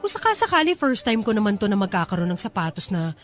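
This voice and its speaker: A woman's voice: mid-range voice, big pitch swings, quick pace, lightly acted. A woman acting a carefree, exclamatory young spendthrift mother in a Tagalog radio drama, with a Filipino accent. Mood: cheerful, sheepish. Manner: exclamatory, breezy, Taglish slang. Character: carefree spendthrift young mother, breezy, giddy shopaholic friend. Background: music.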